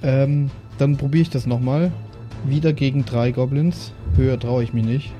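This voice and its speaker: A man's voice: mid-range voice, steady tone, moderate pace. A man takes part as a player in a tabletop role-playing game, chatting casually. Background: background music.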